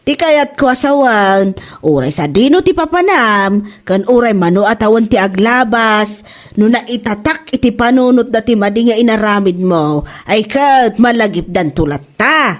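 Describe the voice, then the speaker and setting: A woman's voice: fairly high pitch, animated, measured pace. A woman playing a nagging mother who admonishes her grown son in an Ilocano comedy drama, moralizing in an Ilocano accent. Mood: admonishing. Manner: scolding, moralizing. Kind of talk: comedy audio drama acting.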